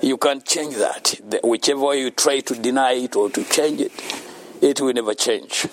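A man's voice: mid-range voice, normal speed, a little hiss or hum. A man from Uganda, giving an earnest faith-based talk about being thankful to God and often laughing between his points. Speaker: speaker at a children's home.

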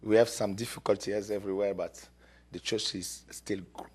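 A guest pastor from Congo speaks with a very low voice, husky and rough at the edges. He gives a fervent church testimony, often pausing on fillers as he finds his words. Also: steady tone, a little mellow. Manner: fervent, halting with many fillers.

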